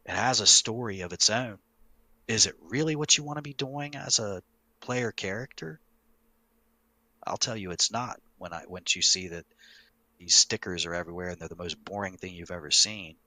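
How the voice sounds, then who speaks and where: Guy on a podcast talking game master stuff, low bright voice, really dry close sound. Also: normal speed, no echo, poor audio. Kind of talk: casual podcast talk, explaining tabletop game storytelling.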